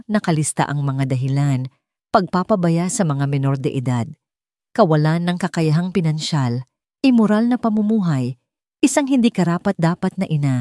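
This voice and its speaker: A woman with a slightly low voice narrates a dramatic story as an audiobook. She reads in measured, suspenseful phrases. The recording is dry and close, with little room sound. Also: warm tone, dry recording.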